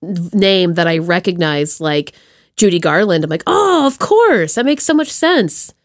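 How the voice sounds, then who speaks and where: A woman hosting a podcast, chatting and asking questions in an informal, slangy, expressive way. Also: lowish voice, a little slow, no room sound, clean studio sound. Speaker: podcast host. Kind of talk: casual chat, interviewing.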